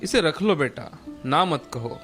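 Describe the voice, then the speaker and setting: A man playing a tired, gentle old holy man who speaks in a fatherly way in an animated moral story. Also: on the high side, a little slow, soft background music. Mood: kindly, grateful. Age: older.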